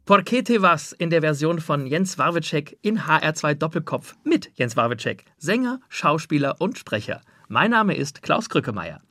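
Lively male radio host. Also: a little high, moderate pace, few pauses, dry recording. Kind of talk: radio interview.

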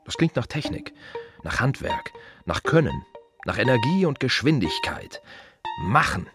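An actor playing a plain-spoken, reflective gravedigger in a radio monologue, musing in short, colloquial, repeated fragments. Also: male voice, medium pitch, very animated, a little slow, music in the background. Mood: wry. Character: plain-spoken, reflective male city gravedigger at peace with work.

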